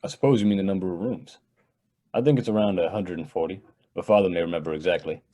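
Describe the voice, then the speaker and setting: A man's voice: sits low, fast, mellow. An actor playing a nonchalant young rich heir in an old-time radio drama, casually boastful about his family's wealth. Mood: blasé. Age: young adult.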